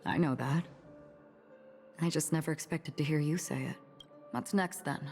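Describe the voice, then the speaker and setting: A melancholy, mysterious swordswoman from a video game. Her voice is strikingly low for a woman, composed and measured, over faint music. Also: creaky, a little mellow. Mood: composed, probing. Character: calm, enigmatic swordswoman, a melancholy wandering loner. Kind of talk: dramatic video game voice acting.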